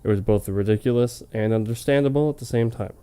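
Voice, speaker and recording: Smooth, mellow man reading mock-dramatically. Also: mid-range voice, fast talker. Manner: hammy, amused, breaking off to comment. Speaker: reader of fan fiction on a comedy web show. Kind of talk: mock-dramatic reading with casual joking asides.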